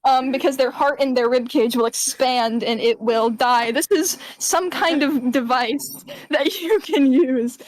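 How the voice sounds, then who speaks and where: A woman's voice: high voice, measured pace, no room sound, rough recording. A woman doing a jokey voice-over as a pretend emergency doctor, with mock-serious patter. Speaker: host voicing over a doctor clip. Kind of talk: comedic voice-over riff.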